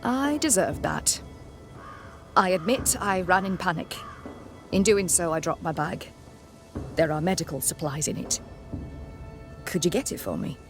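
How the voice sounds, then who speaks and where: A woman's voice: fairly low pitch, animated, unhurried, pauses often, over music. An actress playing a flustered, prim, pious colonial townswoman in a video game, fussy and apologetic. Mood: embarrassed, prim. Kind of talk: scripted video game cutscene acting.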